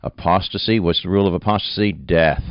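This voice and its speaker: Very deep-voiced folksy radio host man. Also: quick pace, no room sound. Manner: rambling, filler-heavy, folksy. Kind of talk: radio interview. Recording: dull, dry studio sound.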